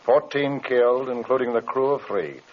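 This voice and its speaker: On a dull, muffled vintage radio recording, a man plays a blunt company president. He sounds impatient and clipped, speaking at a middling male pitch in old radio-drama style. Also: normal speed, close to an everyday voice. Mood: troubled, demanding. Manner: brusque, clipped.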